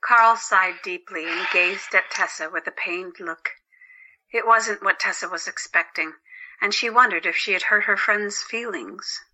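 A woman's voice: mid-range voice, unhurried, sharp tone, low-quality recording. A woman narrating a scripted story as the narrator of an audio drama.

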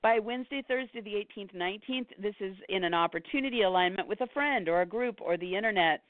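Hoarse woman, astrologer host, quick listing with ums and small laughs, tinny phone-like sound, dry. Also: medium pitch, no echo. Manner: rapid listing, with fillers and short laughs. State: hoarse, losing her voice. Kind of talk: weekly horoscope talk.

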